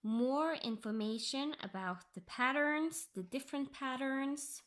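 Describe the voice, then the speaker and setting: A Norwegian woman, English her second language, chatting softly about her cross-stitch project and often dropping into a whisper. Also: high voice. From Norway. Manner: slow, soft-spoken, drifting into whispers. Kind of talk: casual tutorial chat.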